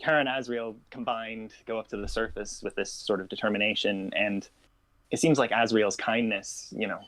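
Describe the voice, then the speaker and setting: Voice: medium pitch, steady tone, normal speed, a bit bright, poor audio. A podcast co-host talking casually and thoughtfully, with an agreeable, informal manner. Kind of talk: casual discussion of a fan story.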